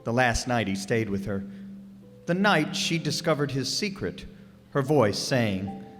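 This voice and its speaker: Expressive male narrator in echoey hall. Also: medium pitch, a little slow. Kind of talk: dramatic scripted radio narration. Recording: echoey hall, music behind.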